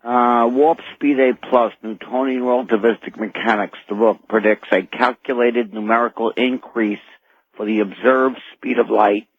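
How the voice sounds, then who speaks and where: Guy on a muffled phone line reciting technical stuff, stiff and formal, husky voice. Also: medium pitch, fairly even intonation, normal speed. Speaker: radio show caller. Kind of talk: reading a prepared technical statement.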